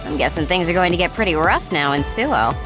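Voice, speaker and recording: A woman's voice: fairly low pitch, animated, brisk, music in the background, natural voice, low-quality recording. A woman voicing a tough, wry young soldier in an anime-style game, speaking with confidence.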